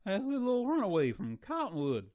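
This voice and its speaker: An actor playing an obliging western deputy in a radio drama, deferential and folksy. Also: male voice, sits high, huge pitch swings, brisk, a little mellow. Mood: dutiful. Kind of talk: old-time western radio drama acting.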